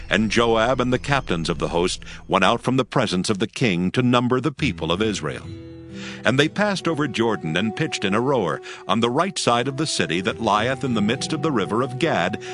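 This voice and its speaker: A man narrating a dramatized scripture as scripted audio, with solemn, measured storytelling in archaic phrasing. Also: mid-range voice. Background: music.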